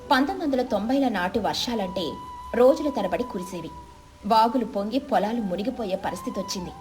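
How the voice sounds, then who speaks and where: Casting: female narrator for moral tales, slightly high voice, faint music bed. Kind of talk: scripted moral story narration.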